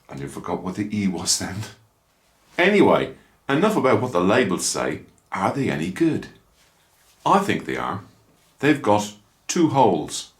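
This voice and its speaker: A middle-aged Irish man in his fifties, chatting casually as he reviews motorcycle gloves at home, rambling with dry asides. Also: deep voice. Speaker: motorcycle vlogger. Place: small room at home, indoors. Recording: echoey small room.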